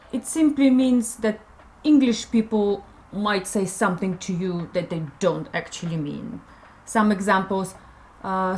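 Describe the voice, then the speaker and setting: A woman's voice: mid-range voice, rough recording. A woman with a Russian accent, narrating a scripted explainer about English customs in a friendly, explanatory way. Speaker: co-host teaching about English culture.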